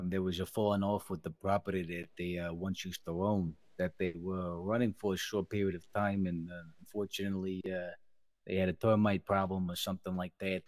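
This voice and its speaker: Man playing a deep-voiced, scheming mobster. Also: a little mellow, dry recording, lightly acted. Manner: roundabout, euphemistic, hedging wiseguy talk. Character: scheming, businesslike mobster underling reporting to boss. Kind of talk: improvised online crime roleplay.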